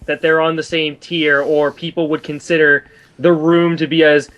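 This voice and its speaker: Male guest commentator, somewhat high voice, casual and halting, with a critical bent. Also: flat delivery, a bit bright, highs cut off.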